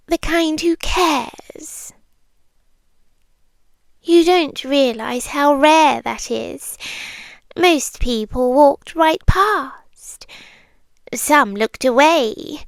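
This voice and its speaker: A British woman voicing a sweet, possessive young monster girl in an intimate ASMR roleplay, speaking softly and deliberately and often dropping into a breathy whisper. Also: high voice, dry recording, full character voice. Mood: softly ominous. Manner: soft, breathy, deliberate, with frequent drops into whispering.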